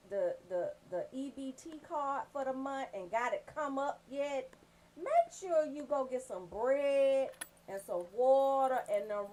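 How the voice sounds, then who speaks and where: A woman hosting a radio show, giving casual, comedic shout-outs in a sassy, joking, profane way. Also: sits high.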